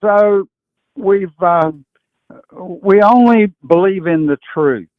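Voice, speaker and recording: Old guy in his 80s phoning a talk show, kinda high voice, halting, tinny phone sound. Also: no room sound. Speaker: caller to a political talk show. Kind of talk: opinionated political talk. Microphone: phone call. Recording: thin tinny phone line.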